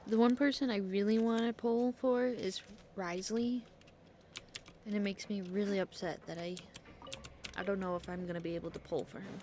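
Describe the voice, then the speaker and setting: A woman's voice: medium pitch, fairly even intonation, normal speed, a little mellow, slight background noise. Woman streamer chatting casually over gameplay. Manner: blunt, slangy muttering to herself. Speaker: game streamer. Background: faint game music, keyboard clicks.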